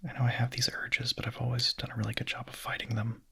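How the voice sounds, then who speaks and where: A man playing a young vampire in an intimate ASMR roleplay, speaking softly and haltingly in a breathy, husky whisper, hungry yet hesitant and tender. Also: mid-range voice, monotone, full character voice.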